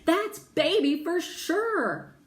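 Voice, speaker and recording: A woman's voice: extremely high pitch, very animated, drawn-out words, voice pushed up, heavily acted. A woman reading as an eager, earnest, curious young girl in a children's story, in a roomy recording. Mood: insistent. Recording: roomy echo, faint background noise.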